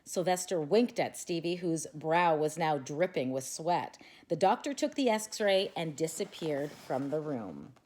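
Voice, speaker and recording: A woman reading a children's picture book aloud with expressive storytelling. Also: low voice, unhurried, hardly any pauses.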